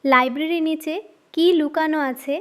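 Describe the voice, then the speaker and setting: A woman's voice: sits high, fairly even intonation, normal speed, a bit bright. A woman reading a scripted outro announcement for a channel.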